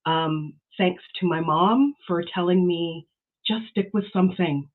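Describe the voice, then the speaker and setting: A woman's voice: fairly low pitch, a little slow. A woman giving an award acceptance speech as an author. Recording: band-limited, slightly dull audio.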